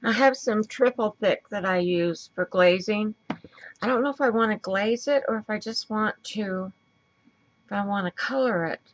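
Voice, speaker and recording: A woman with a raspy voice and a stuffy nose, chatting casually as she works through a crafting tutorial and thinks aloud. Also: mid-range voice, moderate pace, muffled recording. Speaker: craft video host.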